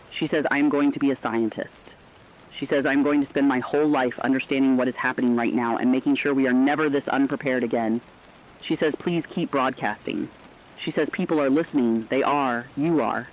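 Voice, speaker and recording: A man playing the steadfast host of a last-ditch radio station, reading a solemn, measured, poetic broadcast script. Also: mid-range voice, lo-fi sound, natural voice. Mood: steadfast, moved.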